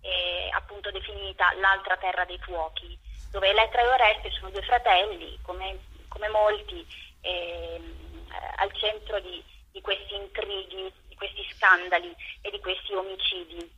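A woman interviewed over the phone as a theatre director, explaining her work in a thoughtful, halting way with drawn-out pauses. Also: mid-range voice, steady tone, sharp tone, a little hiss or hum. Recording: muffled, band-limited phone line.

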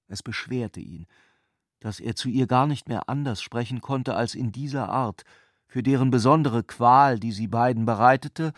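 A man's voice: mid-range voice, no echo. Sober male narrator in a dry studio. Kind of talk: literary narration for a radio play.

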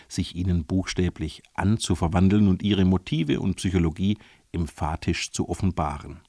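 A man presenting a scripted literary introduction on the radio in a measured, formal way. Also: sits low, darkish tone, no echo, studio quality. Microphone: close studio microphone.

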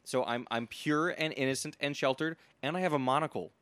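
A man's voice: mid-range voice, brisk, a bit bright, clear, resonant tone, no echo. A man improvising casual banter in a party game as a podcast co-host.

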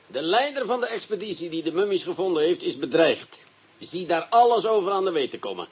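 An actor playing a brisk, commanding police commissioner in a radio drama. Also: male voice, high-pitched, sing-song, breathy edge, exaggerated voice. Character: bossy, scheming male police commissioner.

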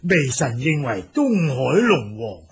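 Man acting a gravelly, aggrieved old yellow dragon. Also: mid-range voice, very animated, slow pace, pitched above the performer's own voice, full character voice. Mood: earnest pleading. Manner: formal pleading and indignant muttering. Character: aggrieved old male yellow dragon, loyal but defiant. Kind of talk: Cantonese storybook drama acting.

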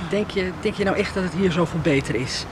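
An actress in a radio soap playing a weary, yearning middle-aged farm wife who talks haltingly, bitter yet tender. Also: female voice, lowish voice, noisy background, close to an everyday voice.

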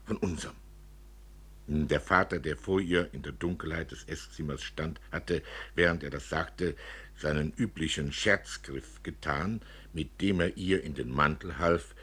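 A man narrating a literary story for radio in an expressive way. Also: extremely low pitch, measured pace, husky.

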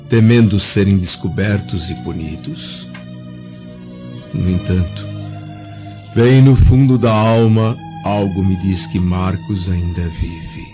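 A deep-voiced, austere Roman senator pours out grief and pride in a solemn radio drama monologue. His middle-aged voice is dark and measured, heard in slightly dull audio over faint music. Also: exaggerated voice. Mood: anguished longing. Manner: solemn, formal, measured delivery. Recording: dull band-limited audio with music behind.

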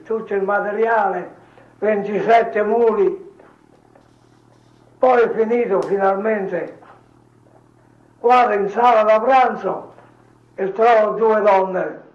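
An elderly man, a grandfather, informally recounting his memories as a veteran in short, halting phrases. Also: high voice, reverberant, lo-fi sound. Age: older, a grandfather. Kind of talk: informal spoken reminiscence.

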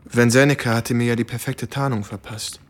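An actor playing a shaken American soldier who recounts wartime horror gravely and haltingly in a German radio play. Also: male voice, mid-range voice, fairly even intonation, lightly acted. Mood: bitter, weary. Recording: studio radio drama with music underneath.

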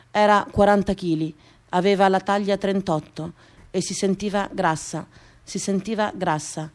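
A woman performs a dramatic scripted radio monologue, deliberate and repeating phrases for emphasis. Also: medium pitch. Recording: music behind the voice. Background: music.

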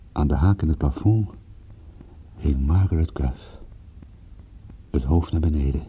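An actor playing a world-weary, reluctant private detective who tells his story in a brooding, measured radio drama narration with a husky voice. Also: extremely low pitch, a little hiss or hum. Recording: muffled, phone-like old radio play recording.

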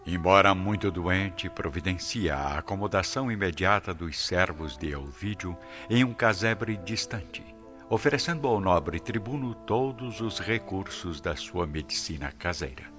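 A male narrator with a low, deep voice tells a radio drama solemnly and dramatically. The recording is dull, with faint music underneath. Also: animated, measured pace, pushed and bright.

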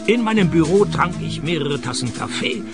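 An actor playing a cynical, hard-up private detective with deadpan wit, narrating and talking in a scripted radio play. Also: male voice, fairly high pitch, moderate pace, gravelly, over loud music. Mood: sardonic. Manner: deadpan, sardonic, dry wit.

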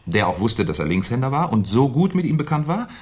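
A man's voice: medium pitch, sing-song, a little fast, a little mellow, breathy edge. An actor playing a shrewd, politely ironic police commissioner in a 1970s German radio crime drama, musing and probing as he talks. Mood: musing, reasoning. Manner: musing, hesitant, slyly polite questioning.

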